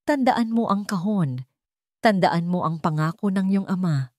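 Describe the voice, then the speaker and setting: A woman voicing a calm, firm figure of authority in a dramatic story. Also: lowish voice, a little slow, dry recording, studio quality. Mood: firm, urgent. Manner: steady, commanding.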